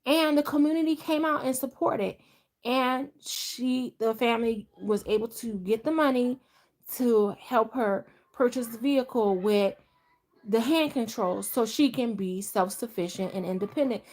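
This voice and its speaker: A woman's voice: a little high, measured pace, darkish tone, poor audio. A middle-aged woman from Delaware chatting casually on a live stream, rambling and restarting her sentences as she talks. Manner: rambling, conversational, frequent restarts. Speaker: vlogger.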